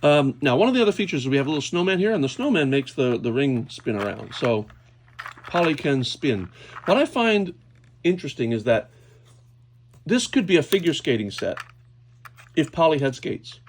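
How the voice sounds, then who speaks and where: A man's voice: a little high, very animated, normal speed, long pauses. A man hosting a casual, unscripted toy unboxing, thinking aloud hesitantly as he handles things. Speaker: toy unboxing video host. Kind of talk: casual unscripted hands-on commentary. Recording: clear background noise, clicking handling sounds.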